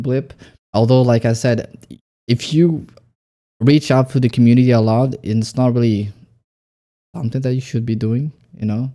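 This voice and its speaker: A man's voice: mid-range voice, dark tone. A man who runs a small YouTube channel, hosting a casual interview and rambling with many fillers. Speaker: small YouTube creator, host.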